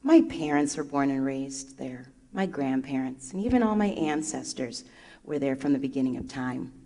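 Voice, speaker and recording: A low-voiced woman from Liberia tells stories from a stage, animated and full of quoted voices. Her talk rings in a big hall with a long echo. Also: moderate pace. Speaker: guest speaker, first grade teacher. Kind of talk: storytelling talk at a live event. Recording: echoey hall.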